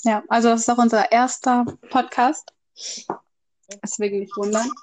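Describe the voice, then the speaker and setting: A woman's voice: a little high, fairly even intonation, moderate pace, a little mellow. A woman in her early twenties in a casual, unscripted podcast chat, speaking in a slightly tentative way. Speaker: podcast co-host.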